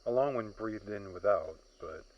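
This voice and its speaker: A man playing a weary lone crash survivor, a chief surveyor recording halting audio logs in a sci-fi horror audio drama. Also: lowish voice, animated, muffled recording, lightly acted. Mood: weary, subdued. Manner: halting, hesitant, sighing delivery.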